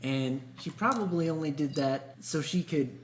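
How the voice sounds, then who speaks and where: A man's voice: a little high, normal speed. A man co-hosting a podcast, telling stories in a casual, easygoing, colloquial way. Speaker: podcast co-host. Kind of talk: casual conversational storytelling. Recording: echoey room with hum and noise.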